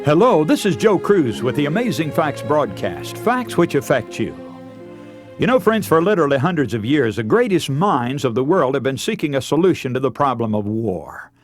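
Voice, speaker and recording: A man's voice: on the high side, very animated, moderate pace, music in the background. A man preaching a scripted radio sermon in an earnest, emphatic, oratorical manner, putting rhetorical questions to his listeners. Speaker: radio preacher and broadcast host.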